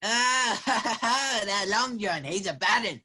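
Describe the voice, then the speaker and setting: A woman's voice: medium pitch, animated, takes it slowly, sharp tone, husky, exaggerated voice. A woman playing a half-wild marooned castaway sailor in a radio play, eager and rambling, with rustic sailor dialect. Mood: scheming, keen. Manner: rambling, repeats words, exclaiming. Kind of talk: children's theater radio play acting.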